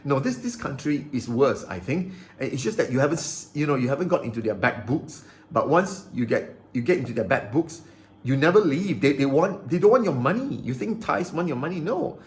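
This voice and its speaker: A man's voice: mid-range voice, lively intonation, fairly quick. A man ranting into the camera about his grievances, agitated, repeating himself and stumbling over restarts. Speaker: vlogger. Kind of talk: aggrieved personal rant to camera.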